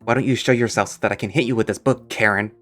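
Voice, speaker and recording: A man voicing a blunt, unimpressed homeowner who answers with dry, deadpan sarcasm. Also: lowish voice, fast talker, soft background music, voice pushed down, natural voice.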